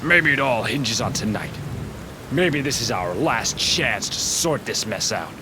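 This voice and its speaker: A man's voice: medium pitch, animated, a little slow, background noise, natural voice. A man acting a stern, weary masked vigilante in an amateur radio drama, grave and emphatic. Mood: grave, pleading. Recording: loud music bed over dialogue. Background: loud music, rain and thunder.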